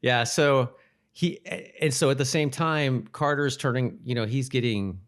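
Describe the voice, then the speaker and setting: A man's voice: mid-range voice, unhurried. An American man co-hosting a podcast, chatting casually and recapping in loose, informal, trailing phrases. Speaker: podcast co-host. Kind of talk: casual chat recapping a TV episode.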